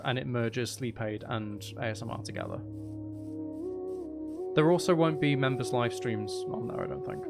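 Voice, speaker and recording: A man with a noticeably creaky, mid-pitched voice hosts a gaming livestream. He rambles casually, thinking aloud as he plays and tossing in playful asides. Music plays clearly behind him. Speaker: livestream host.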